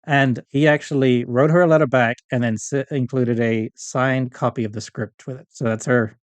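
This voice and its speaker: A man's voice: mid-range voice, fairly even intonation, normal speed, dry recording. A middle-aged man speaking as a podcast guest in a casual, rambling, reminiscing chat. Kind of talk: casual interview chat about fandom and collecting.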